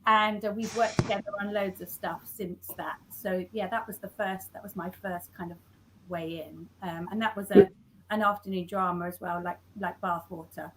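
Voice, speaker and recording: Halting woman scriptwriter on a call. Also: medium pitch, fairly even intonation, heavy background noise. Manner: halting, self-correcting, many restarts. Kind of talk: conversational interview. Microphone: video call.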